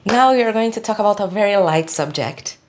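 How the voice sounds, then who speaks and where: A woman's voice: medium pitch, expressive, brisk, a little hiss or hum. A young Brazilian woman whose first language is Portuguese speaks English with a Brazilian accent, giving a blunt, frank monologue to camera full of rhetorical questions. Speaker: vlogger. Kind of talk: opinionated casual monologue to camera.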